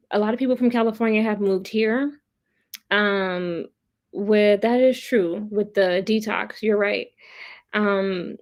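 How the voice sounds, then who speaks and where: A woman hosting a casual livestream of commentary, chatting with viewers in a blunt, opinionated, profane way and repeating points for emphasis. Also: fairly high pitch. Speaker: host of a pop culture commentary livestream. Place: live online stream.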